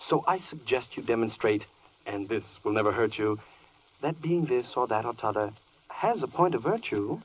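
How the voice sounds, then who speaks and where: An actor playing a persuasive, theatrical defense lawyer in a 1940s rhymed radio drama. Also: male voice, medium pitch, animated, moderate pace, slight background noise, close to an everyday voice. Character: smooth-talking, persuasive male defense lawyer. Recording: dull, distant 1940s radio recording.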